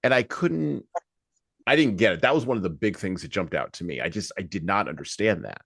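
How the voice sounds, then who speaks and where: A man hosting a podcast, talking reflectively about books in a halting, deliberate way with emphasis on key words. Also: low-pitched, animated, gravelly, fairly bright tone, no room sound, studio quality. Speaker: podcast host. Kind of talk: reflective book discussion.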